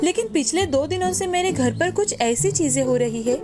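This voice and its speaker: A woman's voice: sits high, lively intonation, normal speed, over music. A woman voicing a greedy, rude, quarrelsome old village woman in a moral-story cartoon. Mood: anxious. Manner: scolding, haughty.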